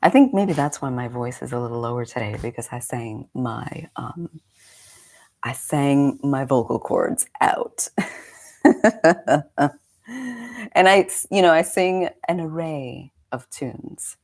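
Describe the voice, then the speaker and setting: A woman's voice: very deep voice, slow pace, long pauses, a little mellow. A woman in her forties, a meditation coach, chatting casually on a live stream, slightly congested and rambling through friendly asides. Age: 40s.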